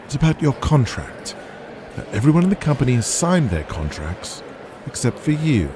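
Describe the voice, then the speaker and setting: A man voicing a polite, smooth-talking British wrestling office aide in an audio drama, formal and reassuring. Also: mid-range voice, sing-song, moderate pace, pitched above the performer's own voice, close to an everyday voice. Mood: coaxing. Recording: noticeable background noise.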